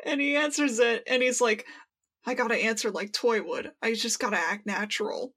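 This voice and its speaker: A woman with a bright, forceful voice that sits a bit high. She chats casually as a podcast co-host, telling things in slangy words and slipping into quoted lines. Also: normal speed, breathy edge. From United States. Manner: animated retelling, acts out quoted lines, slangy. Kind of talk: casual chat.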